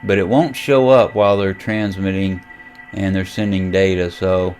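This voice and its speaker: Low, even-toned American man. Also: faint music behind. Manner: halting, thinking aloud while operating. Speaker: amateur radio vlogger demonstrating software. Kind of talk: casual unscripted screen tutorial.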